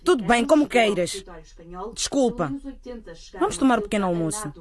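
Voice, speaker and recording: A woman playing a gentle, protective mother in a radio drama, soft-hearted and pleading. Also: a little high, sing-song, normal speed, crowd noise. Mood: resigned.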